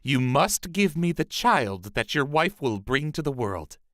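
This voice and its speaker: A man's voice: fairly high pitch, very animated, measured pace, fairly bright tone, no echo, studio quality. A man voicing an angry, commanding sorceress in a fairy tale storybook reading, imperious and threatening. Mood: stern bargaining. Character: angry, menacing, powerful female sorceress, commanding and bargaining.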